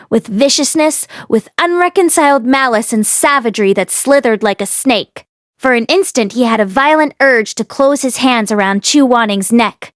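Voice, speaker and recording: A woman narrates a fantasy novel in an expressive storytelling style. Her voice sits fairly high. The sound is dry, with no room around it. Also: no echo. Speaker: audiobook reader.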